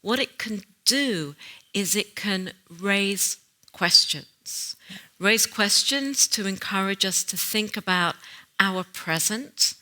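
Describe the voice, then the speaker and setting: A woman's voice: mid-range voice, animated, takes it slowly, sharp tone, rough recording. A woman speaking in an onstage conference conversation, earnest and emphatic, stressing key phrases as she reflects.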